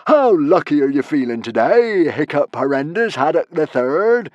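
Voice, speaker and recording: A man voicing a maniacal, gloating Viking villain for a storybook, bellowing in drawn-out, grandiose phrases. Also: a little high, very animated, darkish tone, no room sound, lo-fi sound, voice pushed up. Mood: manic grandiose.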